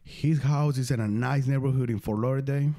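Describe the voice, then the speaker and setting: A man from Venezuela, a non-native English speaker, hosting a casual podcast chat, swearing freely and telling stories in animated, broken English. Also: mid-range voice, brisk. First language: Spanish. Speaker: podcast host.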